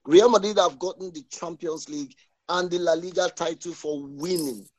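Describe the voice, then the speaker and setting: A man debating football on a casual sports podcast, halting and restarting his phrases. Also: on the high side, a little mellow. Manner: halting, restarts words, frequent agreeing "exactly". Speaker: podcast panelist.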